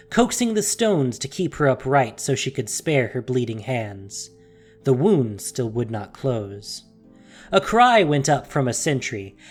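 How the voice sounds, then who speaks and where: A narrator reading a dramatic audio story theatrically, with deliberate pauses and hushed emphasis, in a scripted style. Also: medium pitch. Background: music.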